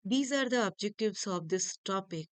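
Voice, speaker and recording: A woman reading a scripted academic lecture to learners in halting, deliberate phrases. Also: mid-range voice, expressive, no room sound.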